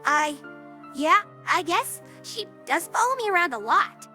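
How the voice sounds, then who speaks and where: A woman's voice: extremely high pitch, big pitch swings, measured pace, pushed and bright, faint music behind, exaggerated voice. A woman voicing a brash, loyal young female pegasus pony with a youthful, slangy, cocky delivery in a cartoon audio drama. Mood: reflective. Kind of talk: animated cartoon-style audio drama dialogue.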